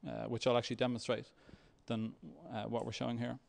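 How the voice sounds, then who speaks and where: A man presents software at a tech meetup in a mid-pitched voice with noticeable creak. His delivery is halting and hesitant, in the style of a developer walking an audience through a demo. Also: monotone. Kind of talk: conference talk with live demo.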